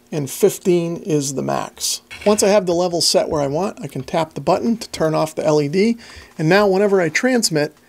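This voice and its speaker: A middle-aged man walks through a piece of gear as a reviewer. His voice sits a little higher than most men's and has a strong gravelly fry. He explains things step by step in a plain-spoken, methodical way. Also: darkish tone. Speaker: radio reviewer and presenter. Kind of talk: product overview and demonstration.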